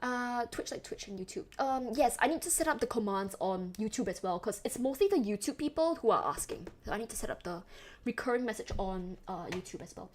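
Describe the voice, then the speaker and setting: A young Singaporean woman talks loosely to her stream chat, her accent toned down but still there. Her voice sits a little high, and she rambles through fillers and quick laughs. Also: animated. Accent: Singaporean, softened toward Western English. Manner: chatty, rambling, laughs often, many fillers. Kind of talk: casual chat with live chat.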